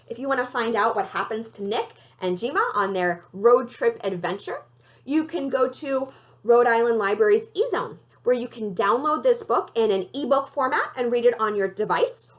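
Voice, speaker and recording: A woman, a librarian, giving an expressive read-aloud narration of a children's novel. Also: on the high side.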